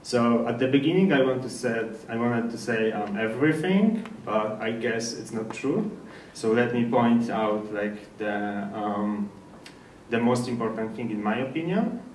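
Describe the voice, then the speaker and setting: A man from Poland with a Polish accent gives an informal technical presentation in slightly halting non-native English. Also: mid-range voice, expressive, a little mellow. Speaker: speaker at a developer meetup. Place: indoor meetup presentation room. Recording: echoey room, distant mic, faint noise.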